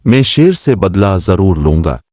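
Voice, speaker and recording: A man's voice: sits low, big pitch swings, a little slow, a little mellow, no room sound, studio quality, exaggerated voice. A man voicing a wronged, cunning male bear in a storybook fable, with plain scripted delivery. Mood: vengeful.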